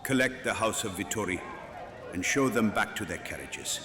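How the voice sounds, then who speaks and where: A man's voice: lowish voice, lively intonation, moderate pace, lightly acted. A man playing a weary, courteous man of authority at a royal court in a horror audio drama. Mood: formal. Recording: echoey hall with crowd behind.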